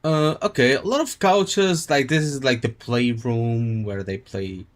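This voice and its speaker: A man's voice: medium pitch, unhurried. A man doing casual, musing live commentary as he streams a game, rambling and swearing casually.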